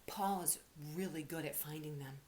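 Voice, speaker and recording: A woman's voice: low voice, moderate pace, darkish tone, a little hiss or hum, close to an everyday voice. A woman voicing a polite, eager, curious young male toy teddy bear from a children's storybook. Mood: curious, earnest.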